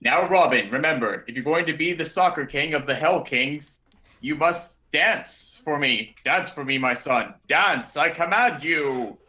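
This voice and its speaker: A man's voice: on the high side, animated, measured pace, sharp tone. A man voicing a pompous lion father who sternly commands his son in a theatrical way, for an improvised cartoon dub. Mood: commanding.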